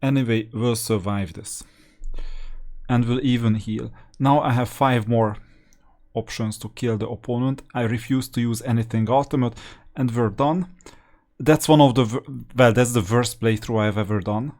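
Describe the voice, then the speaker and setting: A man hosts a gameplay strategy video in a voice of average pitch. He talks casually and explains things step by step, keeping a matter-of-fact manner as he comments on the play. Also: moderate pace, a little mellow. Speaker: host of a gaming strategy channel.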